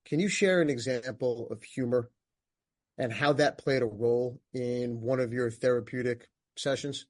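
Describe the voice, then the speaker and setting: A man hosting a podcast and asking a guest questions, talking energetically with quick bursts. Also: medium pitch, a little mellow. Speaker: podcast host. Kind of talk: interview questions.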